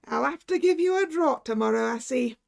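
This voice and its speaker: Hearty raw-boned Irish doctor, teasing and brusque, read by a woman, gravelly edge. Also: sits high, animated, a little fast, heavily acted.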